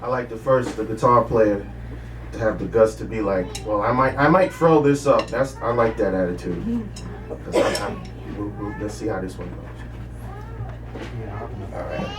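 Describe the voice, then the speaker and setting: A man's voice: lowish voice, normal speed, pauses often, noisy background. A man performing spoken word poetry on a cafe stage, chatting loosely between pieces and chanting repeated words. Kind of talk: casual stage banter and poetry recitation. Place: small cafe music venue. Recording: roomy live recording from far off, loud music.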